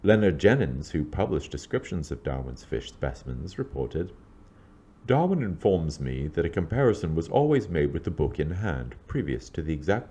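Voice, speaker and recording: A man reading scripted historical narration in a measured, formal, scholarly manner. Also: very deep voice, warm tone, breathy edge. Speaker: lecturer reading own introduction.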